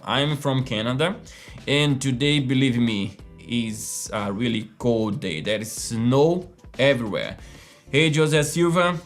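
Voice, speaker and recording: Chatty man, faint music behind. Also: medium pitch. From Brazil. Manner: chatty, lots of fillers, keeps asking "right?" and "okay?". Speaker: host of a live coding stream. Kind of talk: casual live-stream coding lesson. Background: faint background music.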